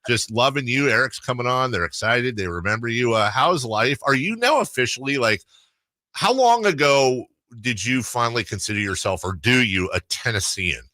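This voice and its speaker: A man's voice: medium pitch, lively intonation, moderate pace, pushed and bright, no room sound. An American man, middle-aged, hosting a radio show with casual, bantering talk.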